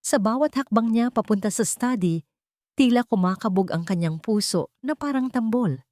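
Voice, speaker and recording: A woman narrating a scripted dramatic story in measured phrases with deliberate pauses. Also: on the high side, animated, darkish tone, no echo, studio quality.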